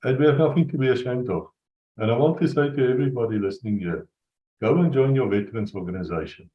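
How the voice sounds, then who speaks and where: Earnest host, roomy dull sound. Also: male voice, mid-range voice, fast talker. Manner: earnest, exhorting. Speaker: podcast host. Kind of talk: earnest rallying speech.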